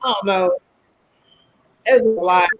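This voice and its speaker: A woman hosting a casual group podcast chat, animated and teasing, with a lot of exclamations. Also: mid-range voice, measured pace, poor audio. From US South. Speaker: podcast host. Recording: faint background noise.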